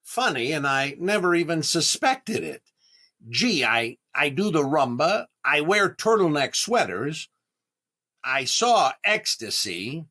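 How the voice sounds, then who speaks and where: An actor playing a vain, hapless comedian in 1930s-style radio comedy, with a deliberate, indignant delivery and dramatic pauses. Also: male voice, a little high, very animated, pushed and bright, heavily acted. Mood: wounded disbelief. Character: vain, hapless comedian desperate to prove his sex appeal.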